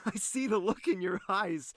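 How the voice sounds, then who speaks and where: A man acting an embittered, proud crown prince who calls himself a villain in a fantasy audio drama, theatrical and sardonic, swinging from hushed to explosive. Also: high voice, moderate pace, dry recording. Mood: bitter, hurt.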